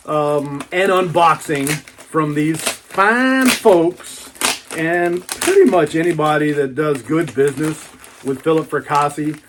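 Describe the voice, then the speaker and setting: A man's voice: a little high, slow pace, noisy background. A man giving a casual, chatty unboxing monologue in a folksy, colloquial way, repeating phrases for emphasis. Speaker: book reviewer and YouTube host.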